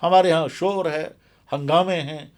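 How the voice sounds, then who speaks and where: Guy cleric doing a religious explainer, bit higher pitched, earnest and emphatic, repeats himself for stress. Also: fairly even intonation, a little slow, a little mellow. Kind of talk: explanatory religious talk in an interview.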